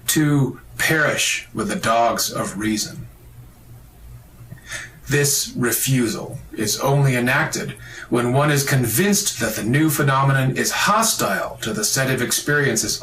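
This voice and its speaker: A man giving a measured, solemn reading of a philosophical essay, with deliberate pacing and emphatic rises on key phrases. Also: mid-range voice, a bit bright. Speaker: reader of an esoteric text. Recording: distant mic in an echoey room with faint ambient noise.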